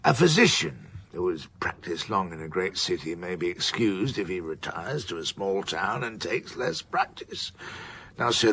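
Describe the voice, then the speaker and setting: Elderly literary sage, radio period drama: opinionated, formal, emphatic, husky, with a very mobile melody. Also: male voice, low voice, fairly bright tone, heavily acted. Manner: measured, emphatic, formal pronouncements. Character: elderly, weighty, opinionated English man of letters.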